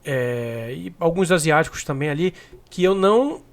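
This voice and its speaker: A man co-hosting a podcast, chatting in a relaxed way with lots of filler words. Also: fairly high pitch, normal speed. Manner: relaxed, fills with é and né. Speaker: podcast co-host. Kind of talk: casual chat.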